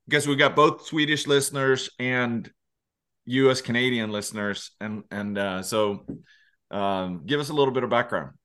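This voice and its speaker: A male podcast host with a voice of ordinary pitch keeps the conversation moving in a loose, chatty way. He stumbles, restarts and fills gaps with fillers as he sets up his questions over a video call. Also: moderate pace, a bit bright. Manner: chatty and self-correcting, with many fillers. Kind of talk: casual interview hosting.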